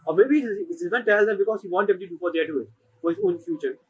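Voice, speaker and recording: A man giving casual game reaction commentary, often repeating his phrases in an animated way. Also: high voice, fast, husky, poor audio. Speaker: game streamer.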